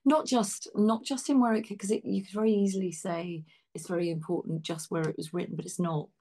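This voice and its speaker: A woman's voice: on the low side, fairly even intonation, fairly quick, mellow. A woman interview guest speaking reflectively and emphatically, often repeating phrases for weight. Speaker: interview guest, theatre practitioner. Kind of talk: conversational reflection.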